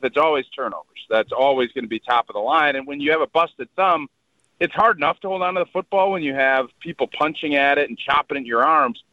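A man giving casual football analysis on a radio morning show, animated and talkative. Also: mid-range voice, no room sound, highs cut off. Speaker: former player, radio analyst. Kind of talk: casual radio sports talk.

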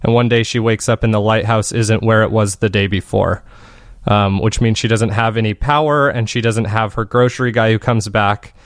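A man's voice: on the low side, steady tone, moderate pace, dry recording, clean studio sound. A man talking casually as an interview guest, explaining things in a rambling, self-correcting way. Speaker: podcast creator interviewed as guest.

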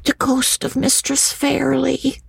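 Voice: mid-range voice, slow pace, vocal fry, dark tone, voice pushed up. A storybook reader voicing a stubborn little village schoolboy with a Northern English dialect and insistent way of speaking. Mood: triumphant. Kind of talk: audiobook character dialogue.

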